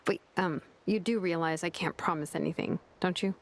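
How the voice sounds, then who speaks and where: A woman's voice: on the low side, moderate pace, a little hiss or hum, close to an everyday voice. A woman voicing a wry, busy researcher in a video game, hesitant and a little sarcastic.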